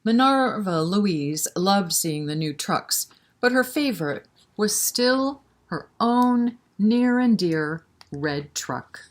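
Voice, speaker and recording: A woman's voice: mid-range voice, lively intonation, slow pace. A woman leading a children's story time, reading a picture book expressively and chatting to the children with questions and encouragement. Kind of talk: animated picture-book read-aloud with asides to children.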